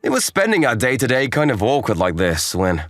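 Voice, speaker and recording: An actor playing an easygoing, kindly young stepbrother in a manga dub, narrating and speaking casually. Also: male voice, medium pitch, huge pitch swings, fairly quick, fairly bright tone, no room sound, clean studio sound. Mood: wry narration. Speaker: narrator and lead character.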